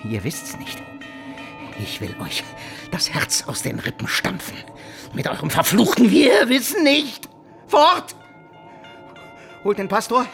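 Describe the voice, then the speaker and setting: A guilt-ridden, villainous nobleman sliding into madness in a theatrical radio drama. His delivery is feverish and drawn out, with huge leaps in pitch from hushed, shaking dread to frenzied cries. Prominent music runs behind the voice. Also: very animated, slow pace, gravelly, long pauses, heavily acted. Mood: frantic rage. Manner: feverish, erratic, lurching from hushed trembling to frantic cries. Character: guilt-tormented nobleman villain, terrified, raving, descending into madness. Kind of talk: German radio drama acting, theatrical classical monologue.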